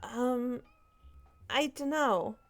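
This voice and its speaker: A woman's voice: a little high, extremely slow, soft background music. A woman co-hosting a comic read-along stream in casual chat.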